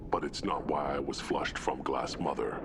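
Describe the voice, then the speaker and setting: An actor playing a solemn, childlike tank-bred alien brute in a video game, with a gravelly growl and halting, simple phrases. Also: male voice, background noise. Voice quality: very deep, gravelly, breathy growl. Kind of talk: dramatic video game acting.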